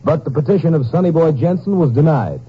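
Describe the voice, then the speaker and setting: A man's voice: fairly high pitch, animated, quick pace, husky. A man reading formally as a 1950s radio announcer. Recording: muffled old radio broadcast recording.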